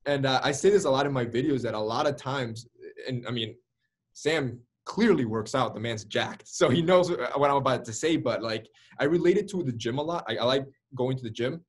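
A man's voice: medium pitch, a little fast, slightly rough. A man in his early twenties, speaking as an interview guest over a video call, telling his own story energetically with many "like"s and "right?"s. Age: early 20s. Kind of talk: candid storytelling.

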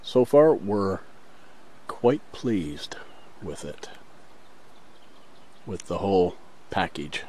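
A man hosting an outdoor vlog, explaining in a casual, halting, step-by-step way from behind a handheld camera. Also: low-pitched, sing-song, a little mellow, breathy edge. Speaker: vlog host. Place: outdoors at a riverside camp in the backcountry. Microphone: handheld camera, speaker behind it. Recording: outdoor camcorder audio, faint ambient noise.

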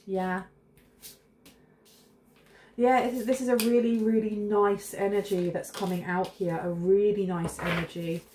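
A woman's voice: medium pitch, steady tone, unhurried, long pauses, darkish tone. A woman in her thirties giving a casual tarot reading to camera from her kitchen, chatty and rambling, sniffling with a cold. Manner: chatty, intuitive, rambling asides. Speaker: tarot reader. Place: home kitchen, indoors. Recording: echoey room with faint hiss.

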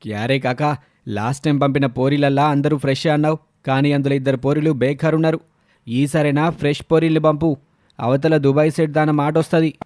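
A man's voice: fairly high pitch, steady tone, brisk, darkish tone, no room sound, natural voice. A man puts on a shady gangster for a story drama. He is slangy, brusque and impatient, in a close, dry recording. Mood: demanding. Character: crude, demanding male gangster caller, shady and impatient.

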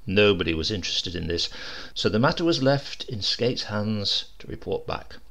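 A man reading a comic short story aloud as narrator, expressive and lively in his delivery. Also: lowish voice, normal speed, vocal fry. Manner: lively, expressive reading with varied character voices. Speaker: audiobook reader.

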